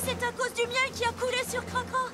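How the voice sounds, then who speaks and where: A woman's voice: extremely high pitch, completely flat delivery, fairly quick, recorded from a distance, over loud music. A woman voicing a caring, resourceful female rescue vehicle in a French children's cartoon, earnest and encouraging. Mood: eager, resourceful.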